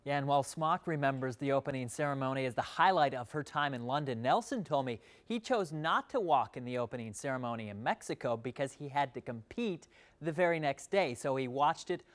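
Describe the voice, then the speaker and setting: Male TV reporter, American, mid-pitched, live studio report. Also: lively intonation, a little fast. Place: TV news studio.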